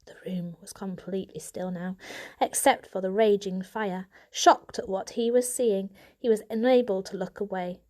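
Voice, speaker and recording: A woman reading a storybook aloud as an audiobook narrator, in an expressive scripted style. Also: mid-range voice, moderate pace.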